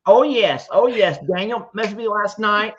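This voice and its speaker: Man, show host, chatty, laughs easily, fairly high voice. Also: moderate pace, a bit bright, slight background noise. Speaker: show host taking callers. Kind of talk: live call-in chat. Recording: slightly muffled audio.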